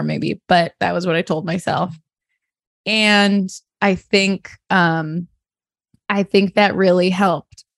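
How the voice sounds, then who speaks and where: A woman's voice: mid-range voice, dry recording, studio quality. A woman talking candidly and reflectively in conversation, hesitant and self-deprecating, often saying "like" and "you know". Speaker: interview guest, comic artist.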